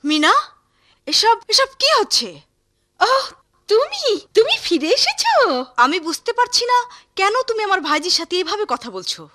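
A woman's voice: very high-pitched, big pitch swings, normal speed, long pauses, sharp tone, exaggerated voice. A woman voicing a caring but firm, protective aunt in a cartoon, speaking expressively. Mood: bewildered. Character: Mina's caring, firm, middle-class aunt. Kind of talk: cartoon dubbing.

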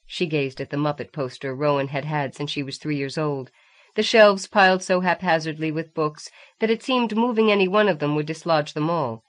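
A woman reading a novel aloud as scripted audiobook narration. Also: deep voice.

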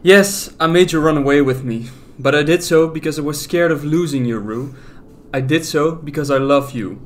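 A man's voice: on the high side, moderate pace, natural voice. An actor playing a wounded, defensive young man, a runaway boyfriend in an audio drama. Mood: pleading. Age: young adult. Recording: faint noise with ambience behind.

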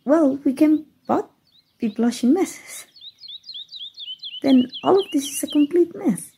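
A woman's voice: sits high, animated, slow, vocal fry, warm tone, a little hiss or hum, full character voice. A woman voice actor voicing a playful, flustered young fairy in an ASMR roleplay, giggling and stammering shyly.

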